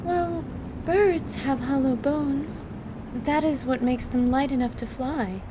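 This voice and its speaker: A woman playing a calm, clinical surgeon in an audio drama, speaking formally and precisely. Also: high voice, slow, background noise. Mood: clinical, curious. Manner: formal, precise, articulate diction.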